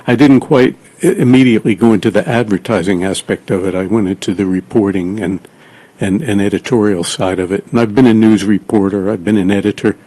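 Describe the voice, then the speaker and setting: A man's voice: lowish voice, a little fast, dark tone, husky, poor audio. A man from South Florida, a PR consultant guest, answering interview questions as a fluent storyteller.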